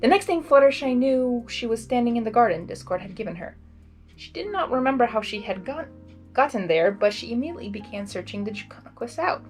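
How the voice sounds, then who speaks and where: A woman with a fairly high voice reads fan fiction aloud. Her intonation is very lively and she slips into casual, excitable commentary, with music underneath. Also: brisk. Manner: excitable, giggly asides. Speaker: fan fiction reader and reactor. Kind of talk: expressive story reading with casual, chatty reactions.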